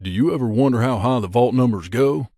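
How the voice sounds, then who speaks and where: A man's voice: medium pitch, brisk, dark tone, no echo, studio quality, close to an everyday voice. A man voicing a troubled, guilt-haunted wasteland companion in a video game, speaking in an earnest, plain-spoken way. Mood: wry, musing. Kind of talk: video game voice acting, dramatic dialogue.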